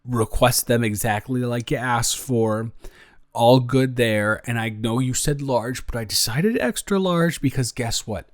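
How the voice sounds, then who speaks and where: A young man playing a caring, playful roommate with a crush in an improvised audio roleplay, rambling and halting in a casual, teasing way. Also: medium pitch, dry recording, clean studio sound, natural voice. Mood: playful, excited.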